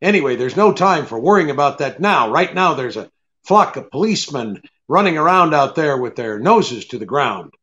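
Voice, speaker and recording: An actor playing a shrewd, cool private detective in an old-time radio drama, wry and blunt, hesitating with the odd uh. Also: male voice, fairly high pitch, big pitch swings, normal speed, fairly bright tone. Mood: brisk, firm. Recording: dull, lo-fi audio.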